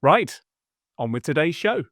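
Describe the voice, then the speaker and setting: A man's voice: a little high, very animated, a little slow, fairly bright tone. A man hosting a business podcast, introducing the show and asking questions in a friendly, conversational way. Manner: friendly, conversational, some restarts. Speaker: podcast host, interviewer.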